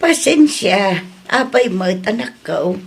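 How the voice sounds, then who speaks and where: A woman playing an elderly, plain-spoken and curious mother in an audio drama, with a husky voice and slow, questioning delivery. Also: medium pitch, animated, full character voice. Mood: resigned, amused.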